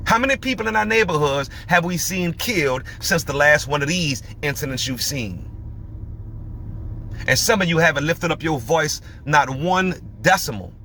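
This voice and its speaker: A man's voice: on the high side, sharp tone, slight background noise. Preachy, emphatic male podcast host. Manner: emphatic, preachy, fires rhetorical questions.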